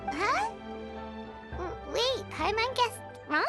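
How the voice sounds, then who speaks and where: A woman voicing a tiny, excitable fairy girl in a video game, childlike, squeaky and exclamatory. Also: slow pace, loud music behind the voice, heavily acted. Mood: baffled. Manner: childlike, squeaky, exclamatory, speaks of self in third person. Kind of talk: cartoonish video game dialogue.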